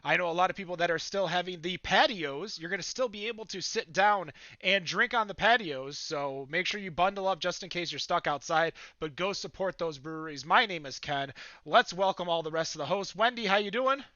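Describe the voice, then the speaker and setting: An upbeat man hosts a casual podcast over a video call, rambling with plenty of fillers. His voice is bright and a bit high. The sound is dry and muffled. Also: brisk, dry recording. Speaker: podcast host.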